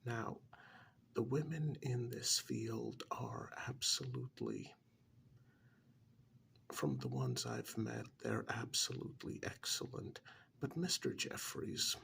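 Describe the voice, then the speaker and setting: A man playing a calm, frank therapist in a soft-spoken ASMR role-play, talking in a measured, halting, half-whispered way close to the microphone. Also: mid-range voice, vocal fry, a little hiss or hum, exaggerated voice. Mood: explanatory, matter-of-fact. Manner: measured, halting, matter-of-fact, professional. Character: calm, frank male therapist counselling a troubled married man.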